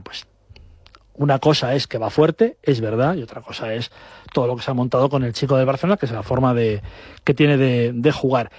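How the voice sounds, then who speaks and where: A man's voice: medium pitch, darkish tone, dry recording, studio quality. A man hosting a sports talk show, with fluent presenting and a few hesitations.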